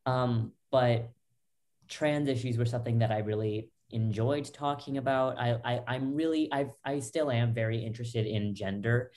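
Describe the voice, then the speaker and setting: Young woman, low warm voice, lots of um and like, webcam call from her bedroom. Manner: loose, filler-heavy talk with many restarts. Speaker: podcast guest. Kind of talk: candid personal story. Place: small home bedroom. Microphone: laptop webcam, video call.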